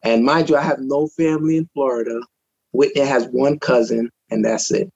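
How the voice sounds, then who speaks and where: A man from Trinidad, a guest on a talk show, relaxed in telling his own story with many fillers, speaking from his home over a video call. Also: medium pitch, normal speed, vocal fry, dry recording, dull audio. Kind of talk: personal testimony in casual chat.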